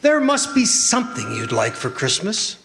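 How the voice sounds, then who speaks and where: A man playing a kindly, jolly old Santa Claus in a stage radio drama, coaxing and fond with halting speech. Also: high-pitched, wildly swooping pitch, vocal fry, fairly bright tone, soft background music, exaggerated voice. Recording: echoey hall stage recording.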